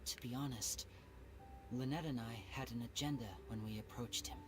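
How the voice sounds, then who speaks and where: A suave young stage magician speaks in a smooth, soft-spoken way, voiced by a man. His voice sits in a middle range, neither deep nor high. He sounds thoughtful and composed. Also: fairly even intonation, normal speed, over music, lightly acted. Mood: confiding. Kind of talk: video game voice acting.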